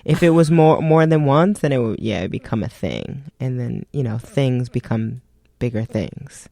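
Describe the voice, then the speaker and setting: A woman's voice: a bass voice, animated, moderate pace, gravelly, mellow, no room sound. A woman co-hosting a casual radio chat, joking and laid-back with lots of laughter. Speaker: co-host.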